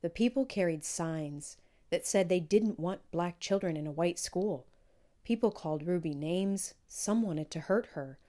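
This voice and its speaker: A woman reading a children's book aloud in measured, clearly phrased storytelling narration. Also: fairly low pitch, dark tone. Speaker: storybook reader.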